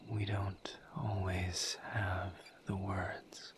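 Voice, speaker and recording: Casting: male actor as gentle misery demon for audio roleplay; low, breathy whisper, extremely slow and soothing. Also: flat delivery, heavy background noise. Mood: gentle, consoling. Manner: slow, halting, soothing, with long pauses.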